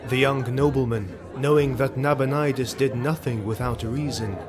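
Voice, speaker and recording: A man narrating a scripted fantasy tale in a solemn, deliberate, literary manner. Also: medium pitch, fairly even intonation, a crowd in the background. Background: background music.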